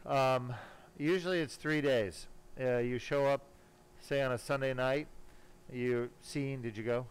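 A man working as a spine surgeon, giving casual, self-assured live commentary while he operates, pausing now and then mid-task. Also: mid-range voice, fairly even intonation. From born in Germany, later lived in California. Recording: clearly noisy operating room sound. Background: room noise and ambience.

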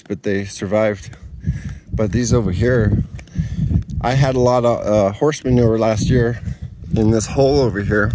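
Man, casual outdoor garden walk-through, rambling and easygoing, middle pitch, noisy phone audio. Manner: rambling, easygoing, thinking aloud. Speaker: home gardener. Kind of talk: casual garden tour, talking to camera. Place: outdoors in a dry desert garden.

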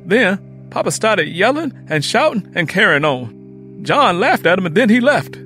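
Man playing high, excitable boy Willie. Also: moderate pace, faint music behind, heavily acted. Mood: excited, alarmed. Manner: emphatic, folksy vernacular. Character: excitable, stubborn young Southern boy, Willie. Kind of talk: dramatised audiobook dialogue.

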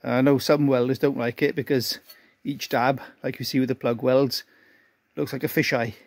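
A man doing an unhurried, self-deprecating welding demonstration as an amateur car restorer in a cluttered garage workshop, explaining each step. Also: mid-range voice, little pitch movement, dry recording.

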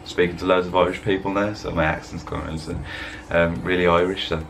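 A young man with a very deep voice chats in a loose, rambling way, full of fillers. He talks into a desk webcam, and a faint background hiss sits under his voice. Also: little pitch movement, a little fast, vocal fry, fairly bright tone. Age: young adult. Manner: rambling, laid-back, chatty with fillers. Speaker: fitness vlogger. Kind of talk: casual voice-over vlog. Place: indoor room, voice-over over gym footage.

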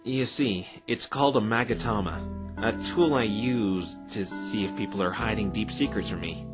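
A man's voice: lowish voice, normal speed, lightly acted. Man playing an earnest defense lawyer over music. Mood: explaining. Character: earnest adult male defense lawyer, plain-spoken and wry. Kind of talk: fan dub of visual novel game dialogue. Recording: background music under the voice.

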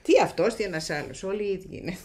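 A woman's voice: medium pitch, crowd noise, close to an everyday voice. A woman playing a domineering, self-pitying wife and pushy mother in a Greek radio theatre farce, insistent and melodramatic. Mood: aggrieved. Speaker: actress in a radio comedy.

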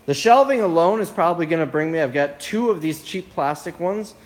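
A man filming on his phone indoors, casually listing items from a storage unit in a quick, offhand way. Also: a little high, lively intonation, poor audio. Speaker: reseller showing a storage unit haul. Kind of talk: casual walkthrough narration. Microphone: handheld phone camera.

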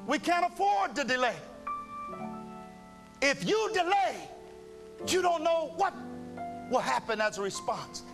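Male preacher with a very high voice, impassioned and pleading, faint music behind. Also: very animated. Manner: pleading, preacherly cadence with drawn-out phrases. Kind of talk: impassioned sermon.

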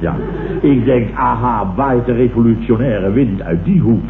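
An actor playing a pompous, blustering company boss in a vintage radio comedy, vain, excitable and often repeating himself. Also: male voice, mid-range voice, measured pace, gravelly. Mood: smug, boastful. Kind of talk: 1960s Dutch radio comedy acting. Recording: muffled, hissy, echoey vintage radio recording.

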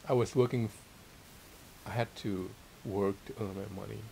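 A man's voice: fairly low pitch, unhurried, gravelly, rough recording. A middle-aged man from Thailand answering interview questions modestly and hesitantly, searching for words in a casual sit-down conversation. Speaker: interviewed guest, theology teacher and author. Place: small library room with armchairs. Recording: steady hiss and room noise.

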